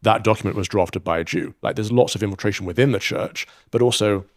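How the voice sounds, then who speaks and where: A man's voice: on the low side, quick pace, dry recording, clean studio sound. A man in a played podcast clip, arguing religious points emphatically and insistently. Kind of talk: religious discussion.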